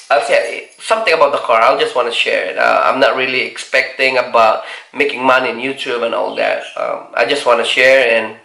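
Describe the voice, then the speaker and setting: A forty-year-old man from the Philippines answering viewer questions in a casual vlog, halting with many fillers and restarts. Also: medium pitch, gravelly, bright, forceful tone, echoey room, low-quality recording. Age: 40s. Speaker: car vlogger.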